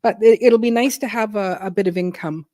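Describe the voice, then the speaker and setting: A middle-aged woman from Canada hosting a casual live stream, chatty, laughing often and clearing her throat. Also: medium pitch, a little fast, dark tone, dry recording, studio quality. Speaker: host.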